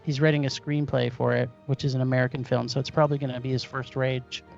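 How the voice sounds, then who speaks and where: A man's voice: medium pitch, level tone, quick pace, vocal fry, highs cut off, faint music behind. A man hosting a casual movie podcast, enthusiastic and stumbling over foreign film titles. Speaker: podcast host.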